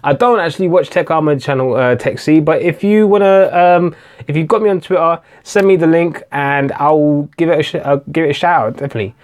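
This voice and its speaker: A man's voice: a little high, fairly quick, darkish tone. A man hosting a casual live-stream Q&A, chatting hesitantly with many fillers and restarts while answering viewer comments. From England. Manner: reads viewer comments aloud and answers them. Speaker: tech channel host.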